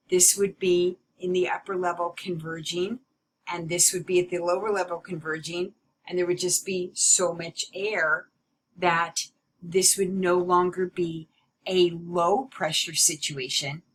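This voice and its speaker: A woman giving an explanatory lecture as a teacher, in a deliberate, emphatic way that repeats key points. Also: fairly low pitch, fairly bright tone, a little hiss or hum, low-quality recording. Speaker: meteorology teacher.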